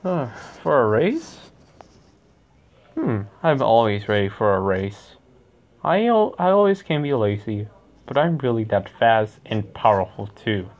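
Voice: medium pitch, sing-song, unhurried, highs cut off. A performer voicing a lazy, playful cartoon fox big brother, with a drawling, theatrical delivery. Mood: sleepy, relaxed. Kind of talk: character voice lines, acted.

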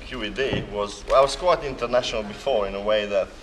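A man's voice: lowish voice, fast, a bit bright. A man from Slovakia, a native Hungarian speaker, talking hesitantly with many fillers at a conference. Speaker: conference attendee. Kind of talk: casual conference testimonial. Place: large hotel hall at a conference. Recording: crowd chatter behind.

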